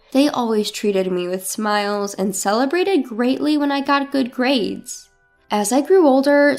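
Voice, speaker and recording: High-pitched woman narrating over music. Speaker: story narrator. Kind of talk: scripted first-person drama narration. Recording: music bed behind the voice. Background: background music.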